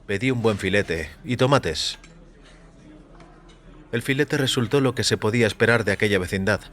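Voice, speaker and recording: A man narrating a scripted first-person story in a measured, literary way. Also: fairly low pitch, fairly bright tone.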